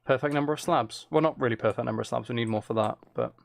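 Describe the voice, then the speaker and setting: A man with noticeable fry in his mid-pitched voice talks casually through a game. He thinks aloud in loose fragments, correcting himself and chatting to viewers as he goes. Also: quick pace, slight background noise. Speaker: streamer playing a building game.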